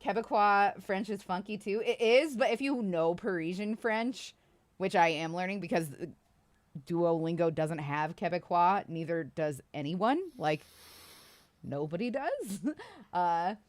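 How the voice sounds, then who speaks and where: A woman's voice: lowish voice, animated, measured pace. A woman in her thirties from Iowa, chatting casually with livestream viewers in a rambling, self-deprecating way, swearing and laughing often. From Iowa, United States.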